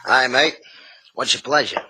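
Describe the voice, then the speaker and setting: A man's voice: mid-range voice, a little slow, noisy background, close to an everyday voice. An actor playing a menacing, sardonic cafe keeper, a shady tough guy in hardboiled old radio drama style.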